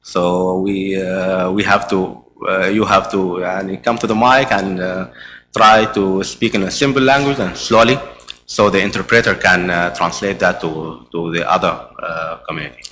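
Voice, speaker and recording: Brief: Arabic-speaking man chairing a meeting, hesitant, lower voice, faint noise behind. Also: fairly even intonation, normal speed, pushed and bright. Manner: hesitant, many "uh" fillers and restarts. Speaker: meeting chair presenting the agenda. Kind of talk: conference presentation. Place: conference hall.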